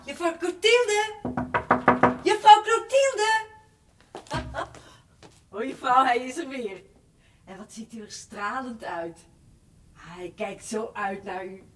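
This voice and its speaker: A gushing young-at-heart housemaid in a radio drama, romantic and excitable. Her high voice leaps around as she chatters and exclaims, a little away from the microphone. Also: takes it slowly, long pauses, roomy sound, distant mic, faint music behind. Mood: excited. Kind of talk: Dutch radio drama acting.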